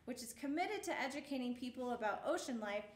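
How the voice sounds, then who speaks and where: A woman with a high voice reads a children's story aloud in a roomy, echoey space. Her phrasing is measured and expressive, shaped for young listeners at storytime. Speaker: storyteller reading a picture book aloud.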